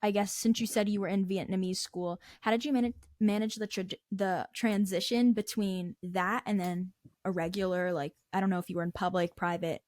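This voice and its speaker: A young adult woman, hosting a casual interview in a tentative, halting way. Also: mid-range voice, steady tone, darkish tone, no room sound, studio quality. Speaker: podcast host.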